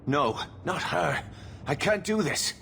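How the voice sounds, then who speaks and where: An actor playing an anxious rebel mage in a video game, pleading earnestly and nervously. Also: male voice, on the high side, expressive, slow pace, vocal fry, fairly bright tone, slight background noise, exaggerated voice. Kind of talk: dramatic video game dialogue.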